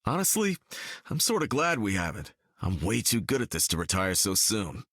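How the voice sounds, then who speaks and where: An actor playing a confident, wisecracking superhero archer in a video game, with casual, wry American banter. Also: male voice, lowish voice, big pitch swings, moderate pace, fairly bright tone, breathy edge, dry recording, studio quality, exaggerated voice.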